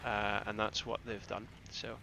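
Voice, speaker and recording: A man from the Scottish Highlands with a Scottish accent, casting a game live in a conversational, rambling way and repeating phrases for emphasis. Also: flat delivery, measured pace, vocal fry, bright, forceful tone, a little hiss or hum, poor audio. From Scottish Highlands, northeast Scotland. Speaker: esports caster and streamer. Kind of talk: live game commentary with casual asides to chat.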